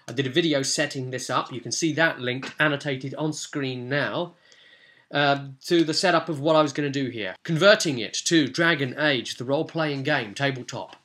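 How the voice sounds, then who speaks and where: Bright-voiced man, chatty gaming vlogger. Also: medium pitch, normal speed. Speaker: tabletop gaming vlogger, game master. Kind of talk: informal walkthrough commentary.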